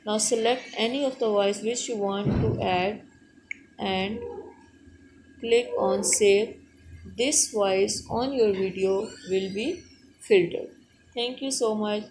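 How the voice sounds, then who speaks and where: A woman's voice: mid-range voice, slow pace. A woman hosting a how-to tutorial, narrating step by step in short, simple instructions. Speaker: tutorial host. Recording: clearly noisy background.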